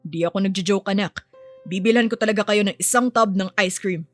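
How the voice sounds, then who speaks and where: Casting: woman reading as an affectionate, easygoing young father in a story, gently coaxing, faint music underneath. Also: medium pitch, fairly quick, lightly acted. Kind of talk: audio story dialogue.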